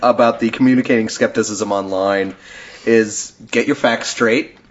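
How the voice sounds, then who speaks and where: A man's voice: on the low side, fairly even intonation, moderate pace. A man hosting a podcast in casual chat, explaining things in a talkative way with frequent chuckles. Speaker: podcast host. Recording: dull lo-fi podcast recording.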